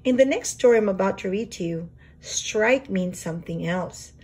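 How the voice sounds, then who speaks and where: A woman reading a children's storybook aloud as a teacher would, expressive and explanatory. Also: mid-range voice, moderate pace, breathy edge.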